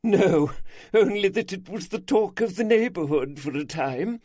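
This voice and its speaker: A man's voice: measured pace, dry recording, studio quality. A man voicing a chatty, gossipy older woman, an aunt showing detectives around her gardens. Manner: lively, raised pitch, gossipy.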